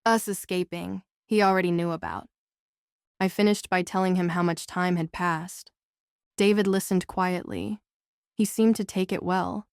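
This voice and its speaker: A woman's voice: lowish voice, fairly even intonation, normal speed, clean tone, no room sound, clean studio sound. A woman narrating a novel as a scripted audiobook.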